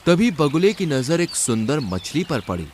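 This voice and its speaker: A man's voice: a little high, sing-song, measured pace, darkish tone, a little hiss or hum. A man reading scripted narration for a children's cartoon story.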